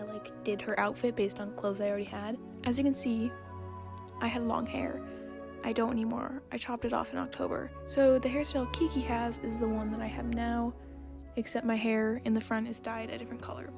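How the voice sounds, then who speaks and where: A young woman giving a casual, chatty voice-over in a rambling, self-deprecating way. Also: a little high. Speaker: video creator and artist. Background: background music.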